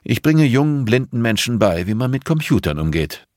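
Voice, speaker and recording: A man voicing a composed, middle-aged blind teacher in an audiobook, patient and measured, with a dryly pointed way of explaining. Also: mid-range voice, sing-song, a little mellow, dry recording, clean studio sound, voice pushed up, lightly acted. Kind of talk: audiobook character dialogue.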